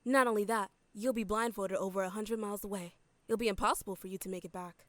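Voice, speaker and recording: A woman's voice: mid-range voice, steady tone, quick pace, dry recording, close to an everyday voice. A woman playing a cold, contemptuous captor in an audio drama, scornful and controlled. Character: cold, contemptuous woman guarding a hated captive.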